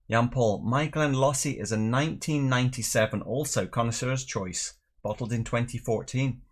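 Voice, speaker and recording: A middle-aged man hosts a casual livestream. He talks in an easygoing, chatty way with a medium-pitched voice, moving between viewer comments and light jokes. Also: fairly bright tone, breathy edge. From Northern Ireland and England. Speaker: host of a live whisky tasting show.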